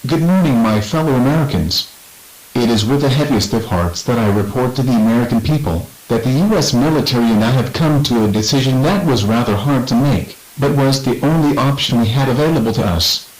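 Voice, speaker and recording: A man playing a regretful American President, giving a formal, measured address to the nation in an outbreak drama. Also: medium pitch, animated, darkish tone, lightly acted, low-quality recording. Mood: solemn, regretful. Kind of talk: formal scripted televised address.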